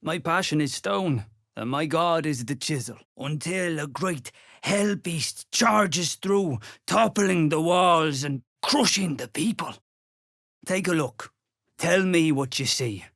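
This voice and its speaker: A man's voice: on the high side, slow, creaky, fairly bright tone, no echo, studio quality, heavily acted. A man voicing a weary, scholarly dwarf stonemason in a video game, courteous and curious, with an ornate, musing way of talking. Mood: earnest. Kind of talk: video game character acting.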